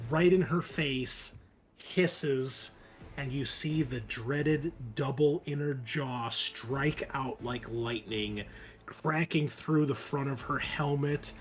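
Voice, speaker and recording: A man working as game master, narrating a horror roleplaying game, moving between dramatic description and casual rules talk. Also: fairly high pitch.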